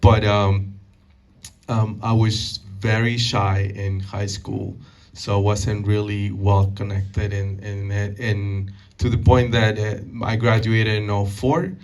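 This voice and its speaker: Halting low-voiced man from Peru. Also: little pitch movement, recorded from a distance. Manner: halting, many fillers and restarts. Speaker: guest speaker. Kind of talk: community talk answering audience questions. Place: meeting hall.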